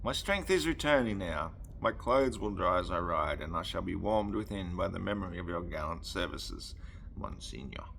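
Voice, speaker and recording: A man voicing a courteous middle-aged French gentleman in a storybook, with a French accent and polite, halting, elegant phrasing. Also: low-pitched, big pitch swings, creaky, a little hiss or hum, exaggerated voice. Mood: gracious.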